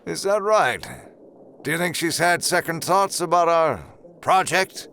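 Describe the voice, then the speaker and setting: An actor playing a coolly calculating, sinister boss of a secret project in an audio drama, speaking in a measured, formal, ominous way. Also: male voice, a little high, bright, forceful tone, slight background noise.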